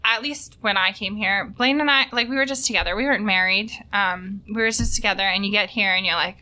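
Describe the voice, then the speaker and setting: A woman's voice: medium pitch, quick pace, pushed and bright, rough recording. A woman in her thirties, a guest on a podcast, chatting casually with lots of "like" fillers.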